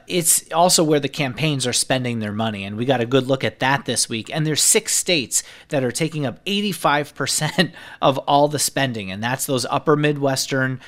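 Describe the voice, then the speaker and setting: A man of middle pitch who talks through the numbers fluently, one state after another. He is a senior political editor on a political podcast, explaining polls and ad spending with only a few uh fillers. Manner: fluent explainer, rattles off figures with occasional uh fillers.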